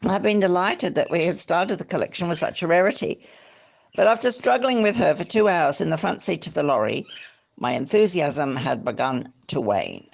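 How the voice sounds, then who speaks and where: An amateur reader narrating a literary memoir expressively, with theatrical, deliberate phrasing. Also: mid-range voice, expressive, creaky. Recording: faint background noise, clicks.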